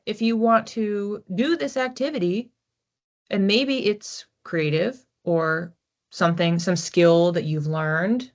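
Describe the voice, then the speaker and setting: A woman reading oracle cards for a general audience in a relaxed, chatty way, thinking aloud as she goes. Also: mid-range voice. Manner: chatty, thinking aloud with restarts and soft laughs. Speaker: psychic card reader. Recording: slightly dull lo-fi audio.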